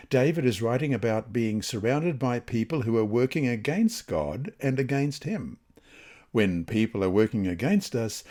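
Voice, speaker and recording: A man reading a scripted devotional lesson and scripture in deliberate phrases with emphatic pauses. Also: mid-range voice, dark tone. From Australia. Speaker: reader of devotional Bible lessons.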